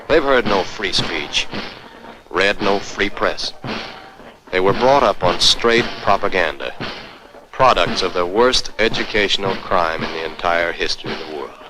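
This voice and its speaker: A man's voice: sits low, sharp tone, slight background noise, rough recording. An American man narrating a 1940s military training film in a stern, emphatic, commanding manner. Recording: vintage 1940s film soundtrack. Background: orchestral music.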